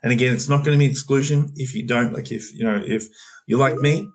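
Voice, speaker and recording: A man's voice: mid-range voice, steady tone, fairly quick. An Australian man talking loosely on a video call, explaining an opportunity with many fillers and restarts. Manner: rambling, full of fillers and restarts. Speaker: program manager on a community call. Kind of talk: casual explanatory talk.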